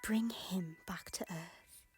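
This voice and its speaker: A woman voicing a guilt-ridden former queen who pleads in breathy, often whispered lines. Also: on the low side, slow, a little mellow, faint music behind, exaggerated voice. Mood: pleading. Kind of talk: fan audio drama acting.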